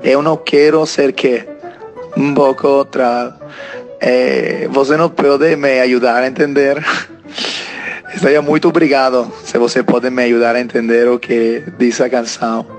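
Young italian guy in his 20s, halting portuguese with lots of uh's, faint music behind. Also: medium pitch, little pitch movement. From Italy. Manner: hesitant learner's Portuguese with frequent fillers and restarts. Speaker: listener sending a message.

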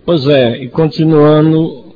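A man's voice: fairly high pitch, fairly even intonation, slow pace. A 66-year-old Brazilian man presenting a community radio talk show. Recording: dull lo-fi audio, faint noise.

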